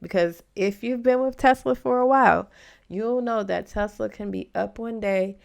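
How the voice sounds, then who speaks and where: A woman's voice: on the high side, moderate pace, creaky, clear, resonant tone. A woman hosting an informal investing explainer for beginners, speaking in an encouraging, reassuring way as she gives advice. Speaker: host of a stock investing channel for beginners. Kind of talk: informal explanatory video commentary.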